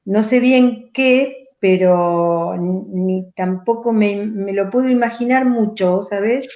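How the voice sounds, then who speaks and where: A woman from Argentina whose was an Italian dialect, speaking thoughtfully as a writer being interviewed, with frequent pauses. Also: medium pitch, warm tone. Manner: thoughtful, pausing, restarts phrases. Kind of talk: reflective conversation. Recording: roomy, dull lo-fi video call audio.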